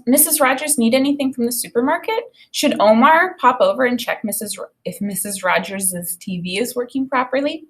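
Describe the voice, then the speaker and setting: A woman in her thirties with an American accent, teaching through an expressive read-aloud with spoken commentary on the story. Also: mid-range voice. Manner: expressive reading with acted dialogue.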